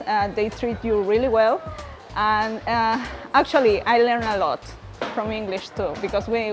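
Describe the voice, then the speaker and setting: A woman from Peru, a native Spanish speaker with a Peruvian Spanish accent, giving a student testimonial and often pausing to search for words. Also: mid-range voice. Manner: halting, searching for words in non-native English. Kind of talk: personal testimonial to camera.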